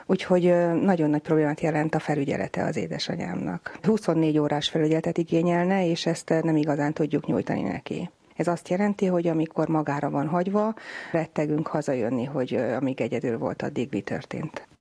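Casting: middle-aged woman, creaky voice, dry sound, speaking as an interviewee about personal experience. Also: low voice, warm tone, no echo. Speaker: interviewee, family carer of her mother.

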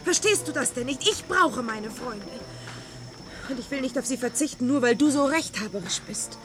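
A high-voiced, weary wife in a dystopian radio play. She pleads and reproaches with frayed emotion. Music runs behind her. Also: expressive, moderate pace. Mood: pleading, irritated. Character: exhausted, pleading young wife in a dystopian future. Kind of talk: German science-fiction radio drama acting.

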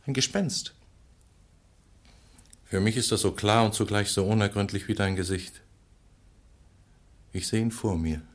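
An actor playing a passionate, brooding poet in a theatrical 1950s German radio play, swinging between hushed and urgent delivery. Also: male voice, on the low side, full character voice. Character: passionate, brooding young male poet pleading with his lover.

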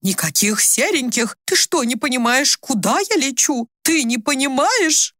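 A woman's voice: on the high side, sing-song, unhurried, fairly bright tone, dry recording, studio quality, pitched above the performer's own voice. A woman voicing an agitated, confused old mother in an audiobook, imperious, insistent and accusing. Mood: angry, demanding. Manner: insistent, accusing, talks without stopping. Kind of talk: dramatised audiobook reading.

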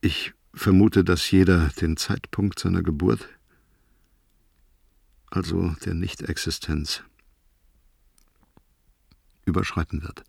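A man's voice: deep voice, fairly even intonation, takes it slowly, creaky, pauses often, a little mellow, slightly rough, dry recording, studio quality, full character voice. An actor playing a weary older crewman dictating a grave spaceship log in a radio play. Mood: grave, factual. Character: weary oldest crewman logging a spaceship emergency.

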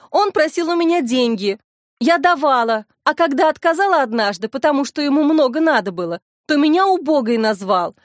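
A frightened young woman in a crime audiobook, acted out by a female narrator. Her voice is high and shrill, sobbing and pleading. The sound is close and dry. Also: dry recording. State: crying. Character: hysterical frightened young woman, sobbing accused press secretary.

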